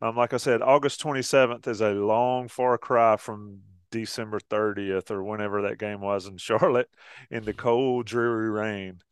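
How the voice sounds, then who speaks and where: Man co-host, smooth mid-range voice, dry close sound, easygoing and chatty. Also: fairly low pitch, steady tone, normal speed, no echo. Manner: chatty and easygoing, laughs often. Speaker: co-host of a college football pregame show. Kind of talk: casual promo chat.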